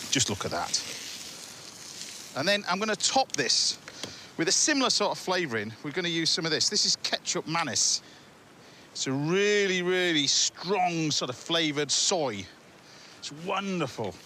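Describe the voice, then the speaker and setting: A man's voice: fairly high pitch, noisy background. A man with an English accent hosting a cooking show, demonstrating a dish to camera with enthusiastic, jokey patter. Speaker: TV chef and host. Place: home kitchen on TV set.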